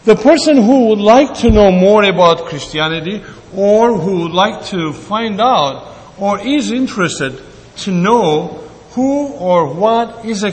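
A man preaching a sermon in a slow, deliberate way, with pauses and fillers, in a church. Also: sits high, animated. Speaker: pastor. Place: church sanctuary. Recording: church hall reverb.

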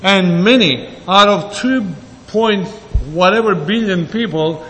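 A man preaching a sermon in a slow, deliberate way, with pauses and fillers, in a church. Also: high voice, fairly bright tone. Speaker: pastor. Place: church sanctuary. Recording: church hall reverb.

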